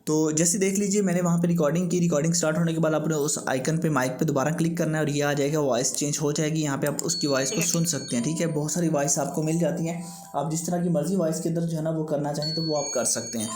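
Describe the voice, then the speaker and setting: A man's voice: a little high, fairly even intonation, fast talker, a little mellow. A man hosting a tech tips tutorial, giving step-by-step instructions. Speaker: host of a tech tips channel. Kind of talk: scripted-sounding tutorial narration for a video.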